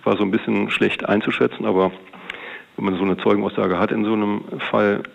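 A man speaking as a police detective, recounting an investigation off the cuff in colloquial, winding sentences with a creaky, gravelly voice. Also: lowish voice, little pitch movement, fairly quick. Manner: matter-of-fact, colloquial, long winding sentences. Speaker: police detective being interviewed. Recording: dull, band-limited interview recording.

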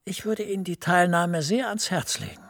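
An actor playing a smooth, persuasive senior EU official who speaks in a measured way. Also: male voice, high voice, fairly bright tone. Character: smooth senior EU director, middle-aged man, persuasive.

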